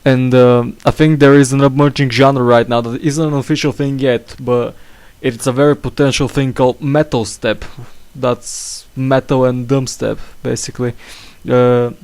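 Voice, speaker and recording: A young man hosts a casual chat in a middle-pitched voice. He talks hesitantly, stumbling over his words and filling the gaps with "uh". A slight cough hangs on his voice. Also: flat delivery, moderate pace, few pauses, a little mellow, smooth. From England. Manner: hesitant, full of fillers and restarts. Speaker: podcast host.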